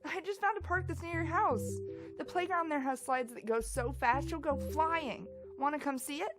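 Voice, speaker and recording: Woman as high-pitched, pushy, excitable young cartoon pony. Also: moderate pace, lightly acted. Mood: excited, coaxing. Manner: eager, brash. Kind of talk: animated fan drama voice acting. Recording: clear music behind the voice.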